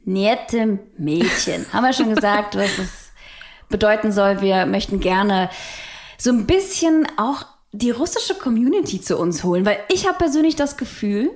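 A young woman co-hosting a podcast in casual chat, relaxed and emphatic, often chiming in with agreement. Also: medium pitch, moderate pace. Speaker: podcast co-host.

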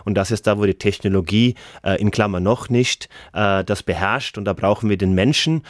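A man's voice: fairly low pitch, moderate pace, dry recording, studio quality. A man with a Norwegian accent, a German-speaking guest on a radio interview, talking earnestly with many hesitations. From Norway.

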